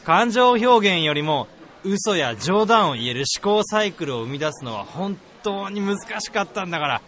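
An actor playing a cocky, slacking senior AI researcher with a brash casual manner in a radio drama. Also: male voice, high voice, very animated, moderate pace, fairly bright tone. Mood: playful, smug. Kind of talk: radio drama acting with first-person narration.

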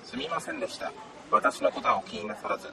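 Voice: fast, pushed and bright, slight background noise, close to an everyday voice. A man voicing a polite AI smart speaker with formal announcements and a mischievous joking streak in a radio drama. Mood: deadpan teasing. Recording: music behind the voice.

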